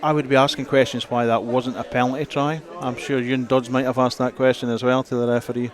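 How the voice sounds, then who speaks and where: Even-toned rugby co-commentator. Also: male voice, mid-range voice, quick pace. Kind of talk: conversational live sports analysis. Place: outdoors at a rugby ground.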